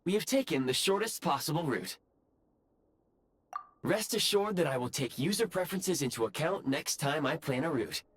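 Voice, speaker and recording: Lively, polite robotic guide. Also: male voice, a little high, normal speed, a little hiss or hum. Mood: assuring. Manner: formal, courteous announcer phrasing. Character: polite, eager robotic train guide, apologetic and precise.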